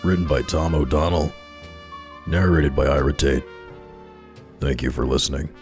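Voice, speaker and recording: Guy narrator doing scripted sign-off, really low voice, music behind. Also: moderate pace. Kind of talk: scripted closing credits.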